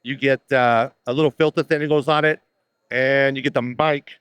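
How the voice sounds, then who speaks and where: A man with a loud voice, chatting casually as a video creator interviewing at a trade show. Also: medium pitch, steady tone, clear, resonant tone, no room sound. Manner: loud, chatty, asks quick questions. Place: busy trade show floor.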